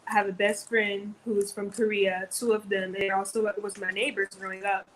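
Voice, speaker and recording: An American woman speaks casually in a mid-pitched voice as a live stream guest. There is some faint noise behind her on the audio. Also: flat delivery, normal speed, a bit bright, poor audio. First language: English. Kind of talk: casual chat. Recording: clear background noise on livestream audio.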